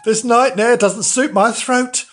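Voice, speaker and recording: A man's voice: very high voice, steady tone, unhurried, fairly bright tone, soft background music, voice pushed up. A man voicing a fussy, prim old magpie in a storybook reading.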